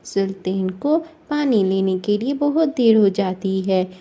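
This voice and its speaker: A woman's voice: medium pitch, unhurried, mellow. A woman storyteller reading a scripted moral story narration.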